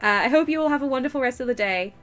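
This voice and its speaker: A woman streaming a game, chatting casually and reading its text aloud, excitable and quick to laugh, with a stuffy nose and sore throat from a cold. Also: soft background music. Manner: excitable, laughs easily, bursts into high delight.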